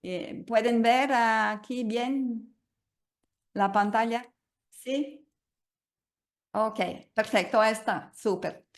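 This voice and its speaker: A woman whose native language is Portuguese presents in a webinar over a video call. Her voice sits somewhat high, and she speaks slowly and hesitantly, often restarting her phrases. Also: fairly even intonation. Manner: hesitant, many fillers and restarts. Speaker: guest speaker.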